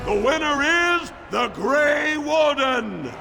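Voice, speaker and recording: A man's voice: very high-pitched, animated, slow pace, creaky, a crowd in the background, exaggerated voice. An actor playing a grandly ceremonial male dwarf arena master in a fantasy game, announcing fights in formal, declamatory style. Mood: grand, rousing. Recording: long hall reverb, music behind.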